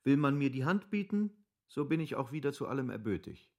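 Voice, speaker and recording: A man's voice: mid-range voice, big pitch swings, fairly quick. An actor playing an impulsive, obstinate nobleman in a German literary radio drama, with formal, emphatic rhetorical phrasing. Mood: defiant. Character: impulsive, obstinate wealthy nobleman pleading with his friend.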